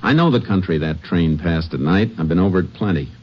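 A very low-voiced, laconic Texas Ranger. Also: male voice, expressive, fairly quick. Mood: calm, deducing. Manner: laconic, folksy, clipped sentences. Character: sharp, methodical Texas Ranger investigator, calm man. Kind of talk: old-time radio detective drama acting. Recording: dull, noisy old-time radio recording.

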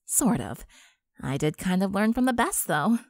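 A woman's voice: medium pitch, big pitch swings, moderate pace, no echo, clean studio sound. A woman playing a supportive, wry teenage girl in a fan fiction audio drama. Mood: gentle, wry.

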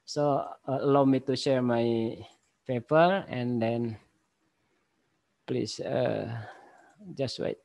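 A man in his forties with an Indonesian accent, giving a conference paper over a video call in halting English full of pauses and fillers. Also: medium pitch, creaky, darkish tone, dry recording. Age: 40s. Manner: halting, many fillers and restarts. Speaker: university lecturer presenting a paper. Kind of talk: conference presentation. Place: home office with bookshelves. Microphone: laptop webcam on video call.

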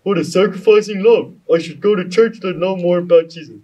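A man's voice: sits high, a little fast, warm tone, recorded from a distance, poor audio. A man voicing a curious, easily persuaded puppet in a scripted children's show, recorded off-mic. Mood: curious, moved.

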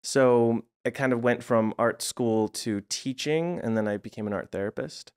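A man's voice: medium pitch, moderate pace, a little mellow, smooth. A man in his forties from the Los Angeles area, whose first language was Croatian, talking as a guest in a casual interview in a reflective, meandering way with many fillers. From United States (Los Angeles area). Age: 40s. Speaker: guest, art therapist.

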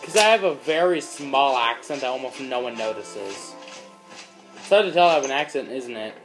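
Excitable teen guy playing a game. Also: mid-range voice, moderate pace, over music. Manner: excitable, yelps when in danger. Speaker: let's play host. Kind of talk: casual gameplay commentary. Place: dim room in front of a screen.

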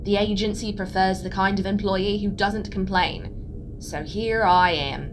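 A woman's voice: fairly even intonation, measured pace, close to an everyday voice. Woman playing a blunt, sardonic space engineer. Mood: wry, resentful. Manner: dry, wry, blunt. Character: sardonic, resentful, plain-spoken female space engineer. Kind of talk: naturalistic audio drama acting. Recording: faint rumbling background noise.

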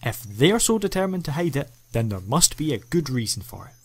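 A man's voice: a little high, sing-song, fairly quick, husky, heavily acted. An actor playing an eccentric, ancient male alien time traveller, enthusiastic and playfully self-mocking, in a fan audio drama. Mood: explaining.

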